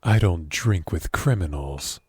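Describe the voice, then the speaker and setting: A man playing a wary, evasive captive governor in an audio drama, guarded and hesitant, with a husky voice. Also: deep voice, lively intonation, unhurried, background noise. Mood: defiant. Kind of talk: audio drama roleplay acting.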